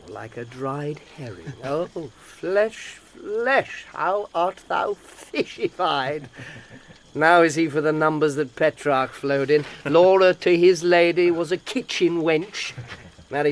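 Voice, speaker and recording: A high-pitched, mocking young gentleman. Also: slow, slight background noise. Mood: exuberant mockery. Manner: theatrical, playful, flamboyant wordplay. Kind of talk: Shakespearean audio drama acting.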